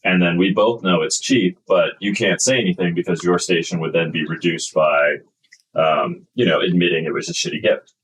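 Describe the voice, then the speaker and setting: A man runs a tabletop game with a very deep voice, filling out the world with many ums and uhs. He sounds set back from the mic, with a faint hiss behind him. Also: steady tone, moderate pace, a bit bright, recorded from a distance, low-quality recording. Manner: explains at length with many fillers. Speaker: game master.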